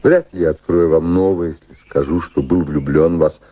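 An actor playing a dignified, ardent British colonial official in his fifties in a Russian radio drama, courtly and deliberate, with a husky voice. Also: low voice, steady tone, warm tone. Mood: courting, wistful.

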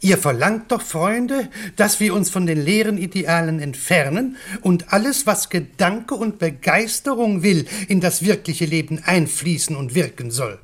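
A high-minded, argumentative friend in a radio drama. He declaims with reproach, his intonation swinging widely in a theatrical style. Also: lively intonation, unhurried, few pauses. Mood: reproachful. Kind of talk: theatrical German radio play acting.

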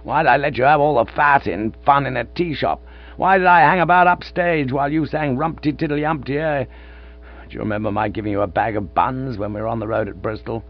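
A man's voice: mid-range voice, brisk, faint music behind, voice pushed up, natural voice. A man voicing an old male stage veteran, blustering and insistent, in a storybook reading. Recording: dull lo-fi with mains hum.

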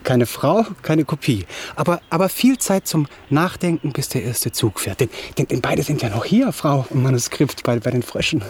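A man's voice: mid-range voice, expressive, moderate pace, few pauses, darkish tone, slight background noise, lightly acted. A man acting a distraught, self-pitying young writer in a German radio drama, rambling and repeating words as he stammers. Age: young adult.

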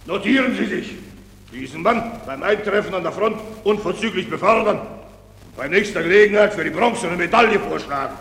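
An actor in a German radio drama playing a pompous, doddering general who gives pedantic, repetitive orders in a creaky voice. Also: male voice, high-pitched, expressive, moderate pace, a bit bright, exaggerated voice. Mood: pompous approval. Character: pompous, doddering male general inspecting troops. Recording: very echoey hall, long reverb.

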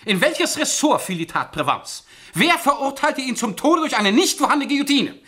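Haughty, penny-pinching sovereign for radio play. Very lively intonation, forceful brightness, pointedly condescending. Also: high-pitched, normal speed, full character voice. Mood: indignant. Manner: condescending, pointed, rhetorical questioning. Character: haughty, thrifty monarch rebuking an aging minister. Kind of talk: radio drama acting.

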